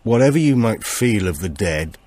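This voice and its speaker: A man voicing a grave old storyteller who gives warnings slowly and deliberately in an audiobook. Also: on the low side, big pitch swings, darkish tone, voice pushed up. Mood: grave, cautioning.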